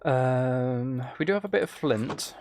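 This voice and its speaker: A man with a gravelly, creaky edge to a mid-pitched voice talks himself through a video game. He muses casually and hesitantly as he plans aloud. Faint noise with keyboard typing and clicks sits behind him. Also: expressive, a little slow, darkish tone. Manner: musing, self-talking, hesitant planning. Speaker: gaming streamer. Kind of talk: casual gameplay commentary, thinking aloud. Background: faint noise, computer keyboard and mouse clicks.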